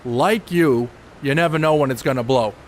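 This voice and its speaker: An actor playing a brainy, secretive ballplayer who explains things with dry wit in a radio play. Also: male voice, medium pitch, normal speed, a little hiss or hum, natural voice. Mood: wry teasing. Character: brainy, secretive ballplayer, adult man, dryly matter-of-fact.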